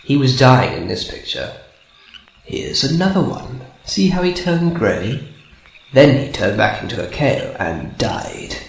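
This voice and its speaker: A man's voice: mid-range voice, sing-song, unhurried, gravelly. A man voicing a sadistic, taunting robot hunter in an amateur radio drama, needling with mock sweetness. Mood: scornful storytelling. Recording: roomy sound with faint noise.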